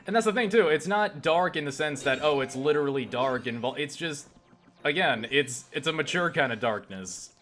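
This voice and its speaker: Bright-voiced young man, opinionated gamer. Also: on the high side, lively intonation, normal speed. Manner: opinionated, profane, emphatic. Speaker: let's play host. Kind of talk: casual gaming commentary.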